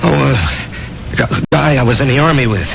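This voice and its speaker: A man's voice: medium pitch, expressive, normal speed, creaky, fairly bright tone, slight background noise. An actor playing a wistful, haunted American working man in a radio drama, conversational and a little hesitant. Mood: shaken. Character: wistful, haunted working man, army veteran regular at bar. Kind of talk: 1970s radio drama acting. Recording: dull 1970s radio recording with music.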